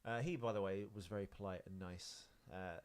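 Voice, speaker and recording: A man's voice: low voice, steady tone, brisk, slight background noise. A British man hosting a podcast, telling rambling anecdotes in an informal, sweary, self-interrupting way. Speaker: podcast host. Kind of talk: casual chat, rambling anecdotes.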